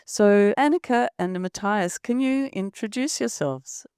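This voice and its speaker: A woman's voice: medium pitch, a little slow, a little mellow, clear, resonant tone, dry recording, studio quality. A woman hosting a medical podcast, introducing guests in an upbeat, enthusiastic way. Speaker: podcast host.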